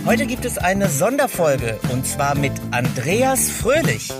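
A man's voice: high-pitched, sing-song, takes it slowly, over loud music. A man hosting a podcast, chatting informally and addressing his listeners directly as he introduces and conducts interviews.

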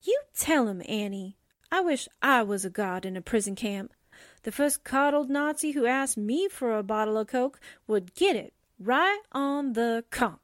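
A brash office secretary played by a woman from a wartime radio play, blunt and full of slangy wisecracks. Her voice sits fairly high, and she takes her time over the words. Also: expressive, a little slow, no echo, clean studio sound. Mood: indignant, feisty. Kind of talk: 1940s radio drama acting.

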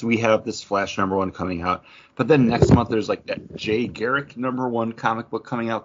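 A man's voice: fairly low pitch, a bit bright, husky. A man co-hosting a casual podcast, chatty and opinionated, acting out little quotes as he talks. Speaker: podcast co-host. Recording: dull lo-fi audio.

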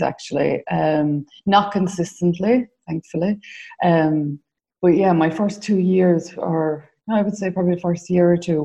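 A woman's voice: sits low, mellow. An Irish woman in her forties, a podcast guest, answering questions with frequent ums and restarts. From Ireland. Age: 40s. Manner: hesitant, many ums and restarts. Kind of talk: casual interview answers.

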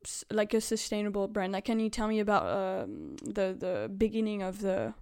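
A woman's voice: medium pitch, normal speed, a little mellow, smooth. A woman hosting a podcast and asking questions in a conversational interview style.

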